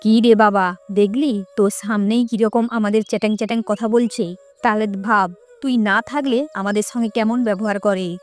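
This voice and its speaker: A woman's voice: mid-range voice, fairly even intonation, normal speed, darkish tone, close to an everyday voice. A woman playing a manipulative, clingy, complaining older mother in a cartoon melodrama. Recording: music bed behind the voice.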